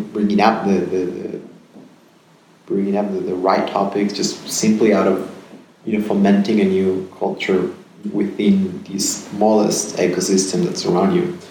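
A man's voice: sits low, darkish tone. A man from Peru, in his thirties, answering interview questions thoughtfully, often repeating words and ending sentences with "right?". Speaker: podcast guest. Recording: very echoey room, faint ambient noise.

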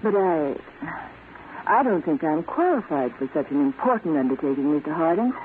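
A woman's voice: low voice, very animated, moderate pace. A woman playing a brave, earnest and polite young refugee in a 1940s radio drama. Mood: earnest, resolute. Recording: tinny, noisy vintage radio recording.

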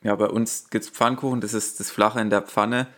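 A man with a light Swabian accent, co-hosting a podcast in a relaxed, chatty way with frequent fillers. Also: on the low side, normal speed. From Swabia, Germany. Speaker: podcast co-host. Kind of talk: casual chat.

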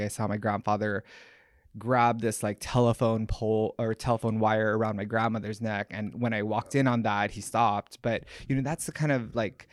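A man's voice: lowish voice, dry recording, studio quality. A man from Hawaii, a podcast guest telling his life story in a candid, reflective way. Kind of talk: personal storytelling interview.

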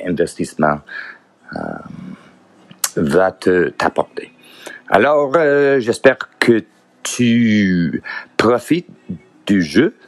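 Man, very low voice, slow unhurried delivery, halting, searching for words, casual podcast talk. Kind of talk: casual solo podcast monologue.

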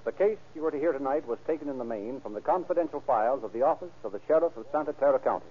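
A man's voice: mid-range voice, expressive, racing, over music. A man announcing and narrating in a formal, declamatory 1930s radio style with careful enunciation. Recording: tinny old radio transcription recording.

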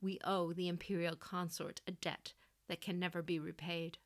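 A woman's voice: fairly low pitch, level tone, moderate pace, lightly acted. A woman plays an older samurai matriarch and general in an audio drama story. She is stern and severe, speaking in blunt, clipped statements with firm command and formal gravity. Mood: grave, formal.

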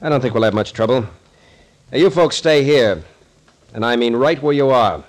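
Man acting a commanding, animated tough captain. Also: medium pitch, close to an everyday voice. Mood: confident. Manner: brisk, commanding, unruffled. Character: confident tough male captain and investigator. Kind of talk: 1940s radio thriller acting.

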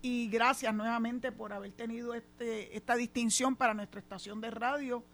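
A middle-aged woman with a Puerto Rican accent hosts a radio talk program from the studio. She talks in an outspoken, emphatic way, with a slightly high voice. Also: steady tone, moderate pace, a bit bright, a little hiss or hum. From Puerto Rico. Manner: outspoken, emphatic, laughs easily. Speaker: radio host. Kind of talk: casual on-air radio chat.

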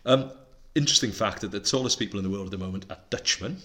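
Bright-voiced man, chatty podcaster. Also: lowish voice, fast talker. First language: Welsh. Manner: chatty, enthusiastic, reeling off facts and figures. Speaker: podcast co-host. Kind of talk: casual podcast chat.

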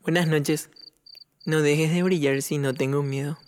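An actor playing a lonely, shy ten-year-old boy in an audio drama, speaking haltingly and pleadingly. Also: mid-range voice, steady tone, darkish tone, natural voice. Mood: wistful, pleading. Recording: faint noise, night insects and frogs. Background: crickets and frogs at night.

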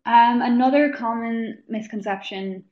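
A woman's voice: a little high, measured pace. A young Irish woman, a pharmacy student, chatting as a guest on a video call. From Ireland. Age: young adult. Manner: chatty, laughs easily. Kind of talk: casual interview chat. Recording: dull lo-fi call audio.

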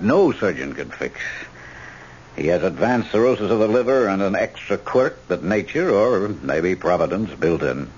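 Low, animated surgeon. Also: male voice. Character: matter-of-fact male hospital surgeon, grimly clinical. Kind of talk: old-time radio drama acting. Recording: muffled, noisy old radio recording.

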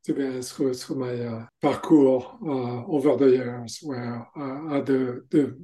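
A man's voice: mid-range voice, steady tone, measured pace, dark tone. A middle-aged man from France with a French accent, answering interview questions in a hesitant, casual way with frequent restarts. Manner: hesitant, restarts phrases, laughs between thoughts. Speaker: guest, tech executive.

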